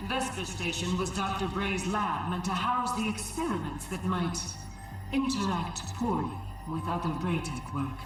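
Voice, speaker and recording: A woman playing a resentful, guarded female station AI in a video game, speaking in a measured, clipped, dry way. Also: fairly low pitch, animated. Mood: clinical, guarded. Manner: clipped system announcements, deliberate and dry. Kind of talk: video game cutscene acting. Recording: very echoey, distant, music behind.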